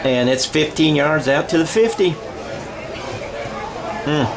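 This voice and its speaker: A man doing radio-style football play-by-play, excitable, thinking aloud and correcting himself as he follows the action. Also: fairly high pitch, slow pace, crowd noise.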